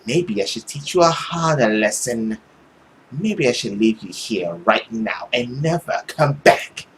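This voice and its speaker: A cruel and bitter mother from a visual novel as a man puts her on, scolding harshly. The delivery is dramatic, with pitch that swings widely over faint noise. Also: lively intonation, measured pace, natural voice. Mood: bitter rage. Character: cruel, furious, abusive mother, bitter and self-pitying.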